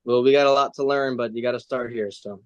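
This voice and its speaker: A man's voice: medium pitch, steady tone, fast talker, dry recording, dull audio. A man of nineteen talking casually and informally as a racing driver being interviewed, with some slang. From United States.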